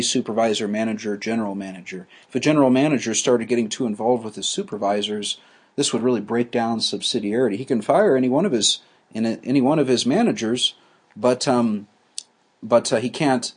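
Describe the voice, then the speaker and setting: A man teaching a class, explaining ideas slowly with frequent restarts and fillers. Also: lowish voice, husky. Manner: halting, many restarts and fillers.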